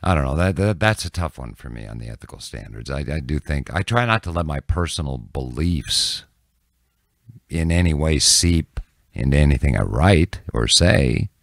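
An older man in his sixties with an extremely deep voice that crackles with vocal fry. He talks candidly and haltingly, as in a relaxed interview. The sound is dry and close to the microphone. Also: no echo. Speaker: news anchor and reporter, podcast guest. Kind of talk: casual reflective interview answers. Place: small dim indoor podcast room. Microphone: close studio microphone on a boom.

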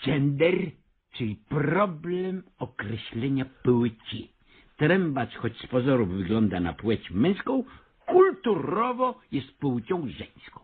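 An actor playing a pompous, persuasive avant-garde theatre director in a Polish radio comedy, with a grandiose, coaxing manner. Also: male voice, medium pitch, very animated, slow pace, slightly rough, narrow, tinny audio, exaggerated voice. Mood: lofty persuasion.

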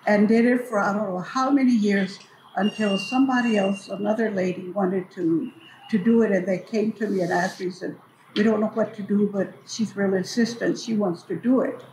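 A woman's voice: mid-range voice, steady tone, moderate pace, darkish tone. An older woman telling memories casually and unhurriedly, repeating phrases for emphasis. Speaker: storyteller and community elder recalling memories. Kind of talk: casual oral reminiscence. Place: large echoey hall at a cultural building. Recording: echoey hall with clear background noise.

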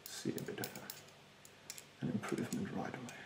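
A young man playing a rude, snobbish, fastidious facial therapist in a soft, half-whispered ASMR roleplay, haughtily polite and hesitant. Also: echoey room, very noisy, natural voice. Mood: fussy, instructive. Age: young adult. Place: bedroom, indoors. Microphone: close mic in front.

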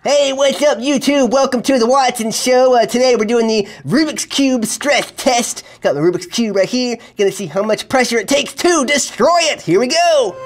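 A man's voice: very high-pitched, moderate pace, few pauses, soft background music. A man acting in a comedic kids' skit, talking in a goofy, overeager, playful way. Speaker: skit actor and mock video host.

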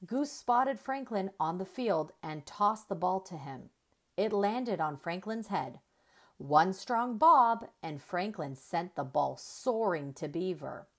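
A woman reading a children's story aloud in an expressive, deliberate storyteller's manner. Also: mid-range voice. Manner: deliberate, animated storytelling with emphasized dialogue.

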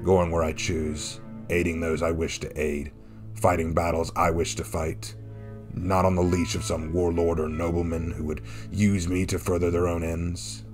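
A man voicing a stoic, blunt wandering warrior with a gruff way of talking, in a fantasy story. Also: low-pitched, normal speed, faint music behind, close to an everyday voice. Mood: blunt, dry. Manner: blunt, terse, calm.

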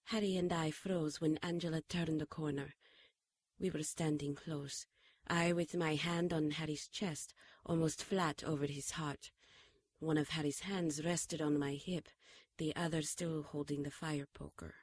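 Actress as low-voiced, earnest heroine. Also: female voice, fairly even intonation, unhurried, darkish tone, dry recording. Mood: measured narration. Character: earnest, caring female companion of a hero, narrating. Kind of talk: radio drama acting and narration.

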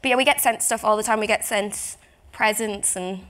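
A young woman with a Northern Irish accent, an actor telling casual stories on a panel in bursts of fast chat. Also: medium pitch, fairly bright tone. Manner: chatty, fast bursts with quoted asides. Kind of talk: casual anecdote. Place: conference stage, table mic.

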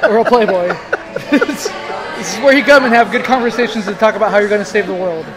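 A man in his thirties co-hosting a beer tasting vlog, talking in a casual, excitable, profane and joking way. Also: sits high, quick pace, rough recording. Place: busy brewery taproom. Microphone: split-screen phone cameras at arm's length. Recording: loud music and crowd behind.

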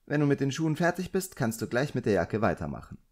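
Casting: fussy, gossipy magician's wife of middle age, voiced by a man, higher-pitched and caring, for a storybook reading. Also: very animated, fast, close to an everyday voice. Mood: chatty, excited.

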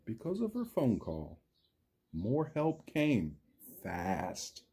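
A man voicing a friendly cartoon dinosaur who reads a children's storybook theatrically, husky, drawing out words and putting questions to young listeners. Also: mid-range voice, wildly swooping pitch, very slow, warm tone, faint music behind, full character voice. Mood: theatrical storytelling. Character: friendly green dinosaur puppet, animated children's storytime reader. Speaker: storytime reader for a school class. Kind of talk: children's storybook read-aloud with asides.

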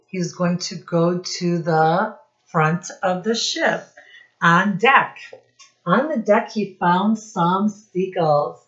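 Female storytime teacher, middle-aged, slightly low pitch, instructive read-aloud for young children, muffled home webcam recording. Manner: instructive, addresses children directly, pauses to point things out. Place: small room at home, indoors.

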